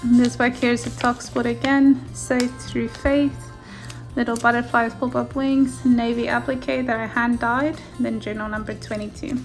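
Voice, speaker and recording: Soft-spoken woman, native Afrikaans speaker. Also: on the high side, level tone, faint music behind. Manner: gentle, softly enthusiastic, unhurried. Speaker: junk journal maker. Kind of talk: casual flip-through narration. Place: indoors at a craft table.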